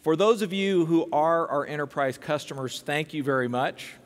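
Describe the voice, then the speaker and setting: An older man delivers a keynote speech on a large conference stage, speaking with an expansive, upbeat manner. His voice sits a little high, carried by a headset mic. A long reverb from the hall trails behind his words. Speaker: company president giving keynote.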